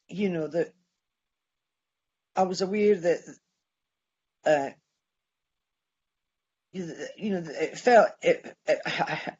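Halting older Scottish man, slow. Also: fairly high pitch, slightly rough, muffled recording. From Scotland. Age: 60s. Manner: halting, with many restarts and pauses. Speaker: speaker sharing his story at a recovery meeting. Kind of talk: personal testimony.

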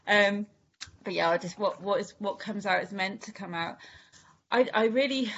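Welsh woman, mid pitch, candid halting share, muffled video-call sound. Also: fairly bright tone. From Wales. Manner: halting, candid, many restarts and fillers. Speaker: recovery meeting speaker. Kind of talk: personal share at a recovery meeting.

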